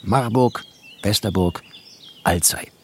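A man's voice: fairly low pitch, takes it slowly, a little mellow, a little hiss or hum. A man playing a bookish, wry war refugee who narrates a literary radio play in clipped, poetic phrases. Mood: wry, matter-of-fact.

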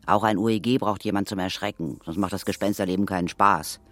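An actress in a children's radio play voicing a brisk, unflappable older female ghost hunter, dry and commanding. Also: very deep voice, fairly even intonation. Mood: dry, knowing. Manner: dry, matter-of-fact, commanding.

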